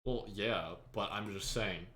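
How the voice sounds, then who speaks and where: A man's voice: on the low side, unhurried, bright, forceful tone, a little hiss or hum. A man hosting a casual chat among friends, joking and laughing often. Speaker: streamer and podcast host.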